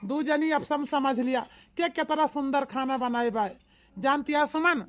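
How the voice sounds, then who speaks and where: A woman plays a high-voiced village in-law from a family comedy cartoon. The speech has an Awadhi accent and a folksy, emphatic manner, circling back over its points. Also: measured pace. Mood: persuasive.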